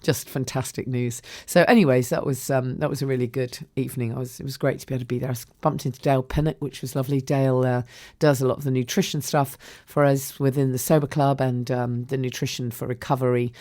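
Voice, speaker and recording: A woman hosting a podcast in a croaky voice, chatting casually and rambling with many ums and restarts. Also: a bass voice, steady tone, fast talker, a little mellow, dry recording, clean studio sound. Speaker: podcast host. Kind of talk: casual solo podcast chat.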